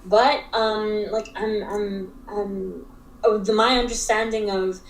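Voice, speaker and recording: A woman's voice: mid-range voice, steady tone, slight background noise. Young woman from africa chatting in an interview, lots of likes and restarts, sounds kinda roomy. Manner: halting, filler-heavy speech with frequent restarts. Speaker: interview guest, digital art expert. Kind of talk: casual conversational interview. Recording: roomy echo.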